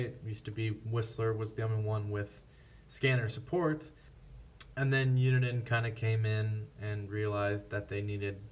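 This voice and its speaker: A man with a fairly low voice talks through products in a casual, unscripted way. His delivery is flat and matter-of-fact, like a shop representative explaining options. He sounds some distance from the mic, with faint noise behind him. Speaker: scanner shop representative presenting a product comparison.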